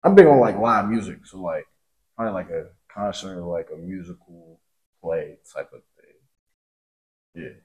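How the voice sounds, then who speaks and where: A man's voice: low-pitched, animated, a little slow, long pauses, a little mellow, rough recording. A man in his twenties chatting casually as a blind date contestant, bantering with slang and frequent laughs. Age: 20s. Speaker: dating show contestant. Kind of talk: casual chat.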